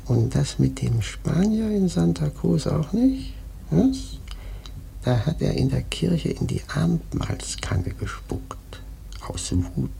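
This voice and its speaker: An actor playing a ragged, half-mad sailor prophet in a radio play, raspy and creaky, taunting and cryptic. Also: male voice, a little high, sing-song, takes it slowly, warm tone, heavily acted. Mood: bitter, agitated. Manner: taunting, cryptic, erratic muttering. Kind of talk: German radio play acting.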